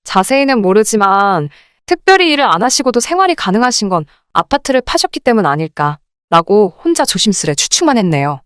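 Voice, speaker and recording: A woman's voice: mid-range voice, clean tone, no echo, clean studio sound. A woman reading a scripted first-person story as a radio drama narrator.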